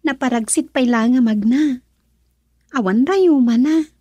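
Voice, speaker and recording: A woman's voice: high voice, a little slow, mellow, dry recording. A young woman playing a tender, anxious girl in love in an Ilocano radio drama. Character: tender, anxious young sweetheart afraid of her mother.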